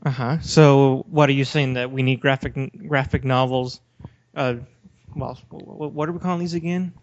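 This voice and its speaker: A man's voice: mid-range voice, fairly even intonation, moderate pace. A man co-hosting a casual podcast, talking in a challenging, argumentative debate style. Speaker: podcast co-host. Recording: slightly dull, lo-fi audio.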